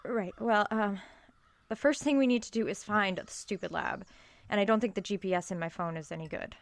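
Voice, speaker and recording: A woman's voice: mid-range voice, fairly quick, vocal fry, close to an everyday voice. Actress as an earnest, awkward college girl. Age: young adult. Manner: awkward, prim, exasperated. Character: earnest, exasperated, prim college girl on secret mission. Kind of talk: audio drama acting.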